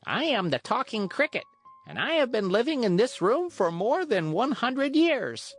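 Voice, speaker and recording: A narrator voicing a tiny, wise old male cricket in a storybook, with a calm, preachy manner. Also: mid-range voice, moderate pace, faint music behind, pitched above the performer's own voice.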